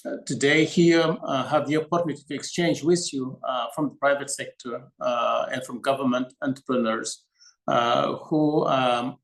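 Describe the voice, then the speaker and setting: A man, an organization's executive chairperson, giving opening remarks at an online meeting, halting often with fillers. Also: medium pitch, rough recording.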